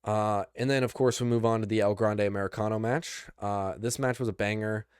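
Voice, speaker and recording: A young man hosting a podcast, recapping wrestling in casual chat, rambling and excitable, with lots of restarts. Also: fairly low pitch, brisk, smooth, no room sound, clean studio sound. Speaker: podcast host.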